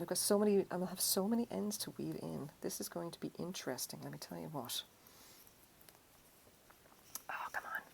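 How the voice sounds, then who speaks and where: A woman's voice: lowish voice, gravelly, slight background noise. A middle-aged woman with an Irish accent, chatting casually and rambling while she crochets on a live stream. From Ireland. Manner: chatty, self-deprecating, rambling asides.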